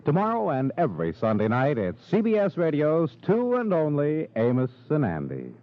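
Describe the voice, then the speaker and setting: A man's voice: on the high side, wildly swooping pitch, normal speed, slight background noise. A man working as a radio announcer, reading scripted 1950s network promos in a polished, showy way. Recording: muffled old radio recording.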